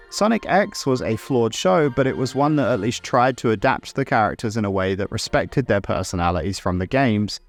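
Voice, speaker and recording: A man narrating a scripted video essay in a wry, opinionated way, with casual asides. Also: mid-range voice. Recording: music under the voice. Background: background music.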